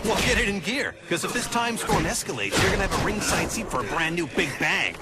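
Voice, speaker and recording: An actor playing an impatient scientist hero, brusque and sardonic, guiding a partner in a video game. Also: male voice, high voice, very animated, fairly quick. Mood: pushy, impatient. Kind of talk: animated video game acting. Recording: loud game music behind.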